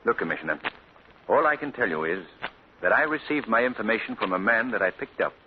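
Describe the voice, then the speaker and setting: A man voicing a polished gentleman with a lowish, measured voice, guarded and a little tense. The sound is thin and tinny, with clear hiss, as on a 1940 radio broadcast. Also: animated, lightly acted. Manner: measured, polished, with small hesitations. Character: composed wealthy gentleman, secretive, tense.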